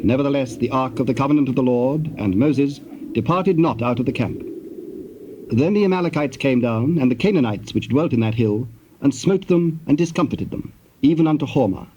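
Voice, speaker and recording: A man with a British accent gives solemn, measured, formal narration for a dramatized scripture recording. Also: medium pitch, mellow. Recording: noisy tape with birdsong ambience.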